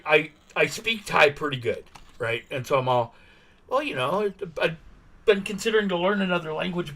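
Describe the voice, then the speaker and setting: A man's voice: on the high side, bright, forceful tone. A man chatting casually and telling anecdotes, acting out quotes and checking in with the listener. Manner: animatedly quotes himself and others, frequent "right?" tags. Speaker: language-learning live streamer.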